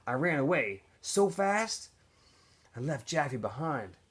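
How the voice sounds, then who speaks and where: A man voicing a wistful, guarded homeless boy in a read-aloud novel, speaking plainly and earnestly with some halting moments. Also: a little high, very animated, a bit bright, breathy edge, pitched above the performer's own voice, full character voice. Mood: sad, recollecting. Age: child.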